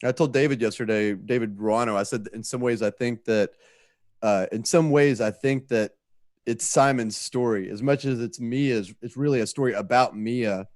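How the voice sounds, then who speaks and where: A man's voice: mid-range voice, moderate pace, darkish tone, dry recording, studio quality. A man chatting casually on a panel, reflective and conversational as he tells his stories. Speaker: show creator, panelist.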